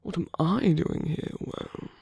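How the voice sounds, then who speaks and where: Man playing a slow, breathy, whispering villain. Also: high voice, expressive, no room sound, exaggerated voice. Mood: sly, flirtatious. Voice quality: soft, breathy. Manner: slow, teasing, murmuring, often dropping into whispers. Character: suave, teasing male villain at a royal masquerade. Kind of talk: intimate ASMR roleplay acting.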